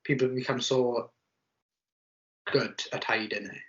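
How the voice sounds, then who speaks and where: A man's voice: mid-range voice, fairly even intonation, measured pace, fairly bright tone. A young man, chatting casually as he tells personal stories. The sound is slightly muffled and lo-fi. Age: 20s. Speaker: interviewee.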